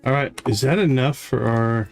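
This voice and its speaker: A man's voice: mid-range voice, moderate pace, vocal fry, soft background music. A man doing casual live game commentary, thinking aloud and talking himself through each task step by step. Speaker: live game streamer, host.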